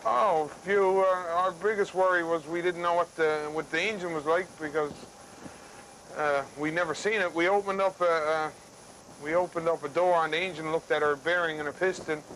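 A man's voice: on the high side, steady tone, moderate pace, fairly bright tone, poor audio. A middle-aged man with a Newfoundland and Labrador accent, talking casually and plainly as a tugboat skipper. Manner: plain-spoken, understated. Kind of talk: casual, unscripted talk. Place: tugboat wheelhouse at sea. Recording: faint engine noise in wheelhouse.

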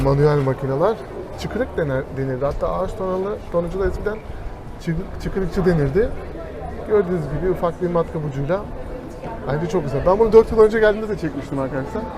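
A man with a fairly high voice chats casually as he walks a city, turning to the camera often to speak to his viewers as friends. Traffic and street noise sit clearly behind him. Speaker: travel vlogger. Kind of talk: casual travel vlog commentary. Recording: noisy outdoor street recording. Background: street noise and passing vehicles.

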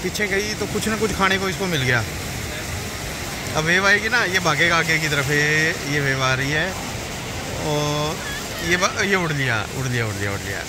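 A man chatting as he films a morning beach walk on his phone, pointing things out to the camera with excited exclamations. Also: a little high, moderate pace, noisy background. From Haryana, India. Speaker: travel vlogger. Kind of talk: casual walk-and-talk vlog narration. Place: outdoors on a beach. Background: loud background music.

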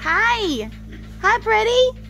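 Woman, high lively voice, casual and cooing, unhurried, farm noise behind her. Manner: cooing baby talk to animals. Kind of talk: casual chat with a child and animals. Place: dairy farm, among calves and cows. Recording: noisy farm ambience.

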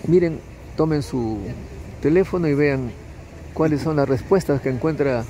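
A man's voice: fairly high pitch, moderate pace, dark tone. A man interviewing people outdoors on a campus asks hesitant questions with many fillers, recording on a phone at arm's length. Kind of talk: street interview. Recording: noisy outdoor street sound.

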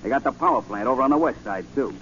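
An actor playing a sullen, insistent psychic with rough, slangy talk in 1940s radio style. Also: male voice, mid-range voice, lively intonation, racing, fairly bright tone, lightly acted. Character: sullen, insistent, streetwise male self-proclaimed psychic. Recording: muffled, faintly noisy 1940 radio recording.

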